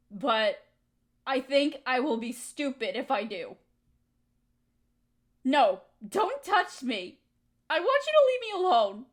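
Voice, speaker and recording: A woman's voice: high-pitched, heavily acted. A young woman acting a hurt, resentful friend in a dramatic audio roleplay, speaking in an emotional, accusing way. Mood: hurt, upset.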